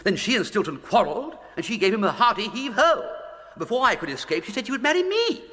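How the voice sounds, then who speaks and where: Idle young English toff, high-pitched, swooping intonation, flippant and chatty, plummy upper-class accent, radio comedy, reverberant room. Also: male voice, very animated, quick pace, pushed and bright, full character voice. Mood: exasperated. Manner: breezy, plummy, exclamatory period slang. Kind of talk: British radio comedy drama acting. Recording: long echoing room reverb.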